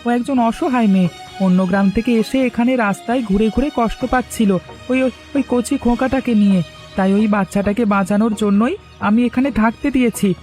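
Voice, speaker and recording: A woman's voice: a little high, fast, mellow, faint music behind, close to an everyday voice. A woman voicing a kind-hearted but defiant village man who is thought mad, speaking bluntly in a cartoon. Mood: indignant defending. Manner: blunt, indignant, protective. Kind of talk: cartoon voice acting.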